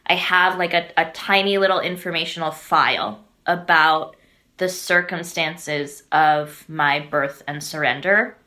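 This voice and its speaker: Woman from the Midwest, podcast guest; earnest, reflective, a touch low-pitched. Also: a little slow, sharp tone. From American Midwest. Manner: thoughtful, earnest, peppers speech with "like" and "right?". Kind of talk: reflective interview talk.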